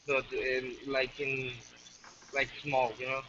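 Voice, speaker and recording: A man in his twenties from Mexico with a Mexican Spanish accent, a native Spanish speaker telling a story haltingly over a voice call, full of fillers and restarts. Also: medium pitch, completely flat delivery. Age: 20s. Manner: halting, many fillers and restarts, soft-spoken. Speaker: guest storyteller. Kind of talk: hesitant casual storytelling. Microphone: computer mic on a voice call. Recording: dull muffled voice-chat audio with faint hiss.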